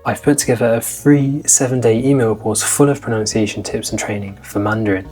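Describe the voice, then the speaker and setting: A man's voice: on the low side, level tone, a little fast, a little mellow, faint music behind, poor audio. A man in his twenties, a language-learning vlogger, talking in an upbeat, motivational style. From Britain. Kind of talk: scripted vlog narration.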